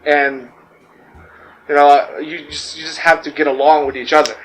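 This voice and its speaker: A man speaking in a relaxed, philosophical way in a personal interview. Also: a little high, moderate pace, a little hiss or hum, poor audio.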